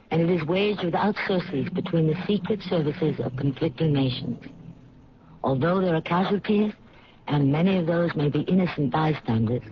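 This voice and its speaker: Male mystery host, slightly high pitch, formal, portentous, deliberate scripted narration, muffled vintage radio. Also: a little hiss or hum. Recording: dull old radio broadcast.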